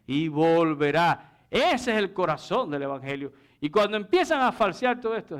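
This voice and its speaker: Male preacher, higher-pitched smooth voice, didactic sermon delivery with rhetorical check-in questions. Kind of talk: sermon with scripture exposition and anecdotes.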